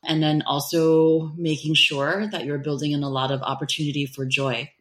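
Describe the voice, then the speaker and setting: A woman with an American accent and a low-pitched voice. She talks as a thoughtful interview guest, reflecting at length and qualifying her phrases as she goes. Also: little pitch movement, normal speed, a bit bright. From born in Taiwan, raised in the United States from age five. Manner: thoughtful, hedging with kind of and sort of. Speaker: interview guest, public education professional.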